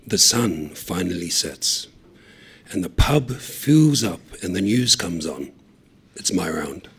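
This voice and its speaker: A man giving an expressive, animated reading of a short story, voicing each character. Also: fairly low pitch, husky. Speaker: story reader.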